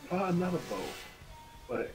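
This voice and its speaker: A man livestreaming a game and casually telling stories in an animated, slangy way. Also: high-pitched, moderate pace. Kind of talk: casual chat over gameplay. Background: video game music.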